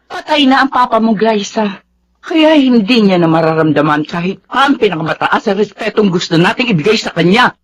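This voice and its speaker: Actress doing stubborn filipina widow mom, middle-aged, imperious and exasperated, voice swings a lot, radio drama. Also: female voice, medium pitch, expressive, moderate pace, lightly acted. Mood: defiant. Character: stubborn, willful widowed mother with grown children. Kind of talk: melodramatic radio drama acting.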